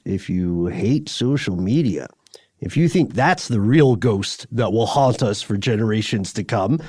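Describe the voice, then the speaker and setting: A man's voice: medium pitch, darkish tone, no echo, studio quality. A man co-hosting a podcast in a conversational style, with articulate, slightly wordy phrasing. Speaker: podcast co-host.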